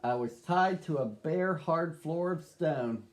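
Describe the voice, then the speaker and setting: A man's voice: fairly high pitch, expressive, slow. A man reading as a lonely shelter dog. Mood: wistful. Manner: earnest storybook reading. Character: sad lonely young male pet-shop dog.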